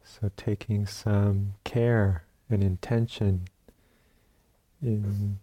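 Slow, very mellow man guiding meditation. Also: lowish voice, steady tone. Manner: unhurried, long pauses between short phrases. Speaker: meditation teacher. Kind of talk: guided meditation.

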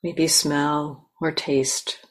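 A woman meditation teacher leading a guided meditation in a gentle, unhurried manner with long pauses. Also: sits low, little pitch movement.

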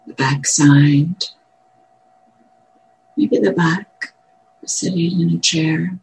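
A woman meditation teacher guiding a meditation in gentle, measured short phrases with long pauses. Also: low voice, fairly even intonation, poor audio. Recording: clear background noise, room ambience.

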